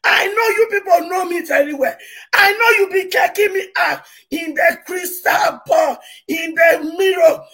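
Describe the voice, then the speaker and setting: A woman, ranting in a loud, declamatory, wailing way. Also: very high voice, normal speed. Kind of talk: impassioned shouting rant.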